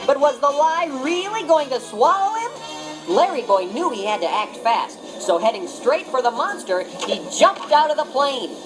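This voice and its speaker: A woman narrating a read-along storybook in an expressive, dramatic way. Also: high voice, moderate pace, rough recording. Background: background music.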